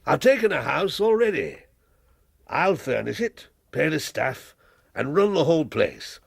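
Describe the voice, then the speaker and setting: An actor playing a shrewd, domineering older businessman in a period radio drama, with a gravelly, husky voice and a brusque, wheedling manner. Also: a little high, very animated, unhurried, no room sound, studio quality, exaggerated voice. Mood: brisk, self-satisfied.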